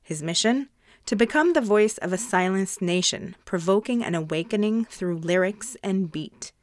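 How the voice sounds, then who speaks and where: A woman's voice: medium pitch, expressive, moderate pace, dry recording, studio quality. A woman speaking as a podcast host, presenting to listeners and interviewing a guest. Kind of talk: scripted podcast introduction and sponsor reads, then interview questions.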